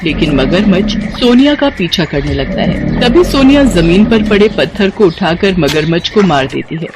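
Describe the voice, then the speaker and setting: A woman's voice: fairly low pitch, lively intonation, moderate pace. A woman narrating a scripted moral tale in a dramatic storytelling style. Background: background music.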